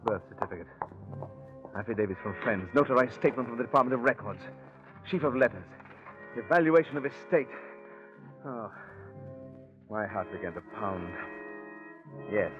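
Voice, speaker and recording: A man narrates a radio suspense story in a measured, confiding way, his voice sitting somewhat low. The sound is dull, like an old radio broadcast, with music playing behind him. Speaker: narrator and lead actor. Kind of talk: 1940s radio drama narration.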